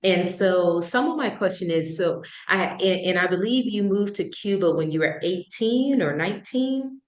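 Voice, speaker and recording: A woman's voice: mid-range voice, lively intonation, moderate pace. A woman hosting a podcast, reading a short promo and then introducing and questioning her guest in loose, filler-filled speech. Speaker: podcast host. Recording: echoey room.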